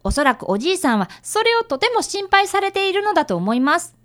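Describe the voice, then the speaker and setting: A woman's voice: very high voice, big pitch swings, fast, a bit bright, heavily acted. A woman playing an earnest, polite young boy apprentice detective in a radio drama, narrating and talking formally. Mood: earnest, puzzled.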